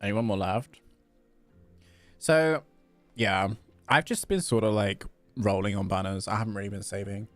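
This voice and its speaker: A man's voice: on the low side, normal speed, long pauses, fairly bright tone, soft background music. A man livestreaming a game, chatting casually and reading dialogue aloud.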